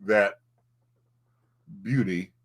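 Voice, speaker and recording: A man co-hosting a casual home livestream, talking in halting bursts and often repeating his words. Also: on the low side. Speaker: livestream co-host, collectibles seller.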